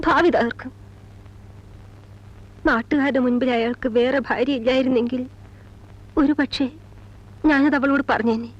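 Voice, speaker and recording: High-voiced, strict, anxious mother. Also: a little mellow. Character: strict, anxious, wounded mother of a teenage daughter. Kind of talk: Malayalam film drama acting. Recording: dull old film soundtrack with music.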